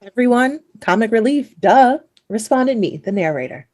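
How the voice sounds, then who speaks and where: A woman's voice: a little high, lively intonation, moderate pace, darkish tone. A woman playing a playful, self-amused narrator in a comedic radio play, breezy and tongue-in-cheek. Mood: wry.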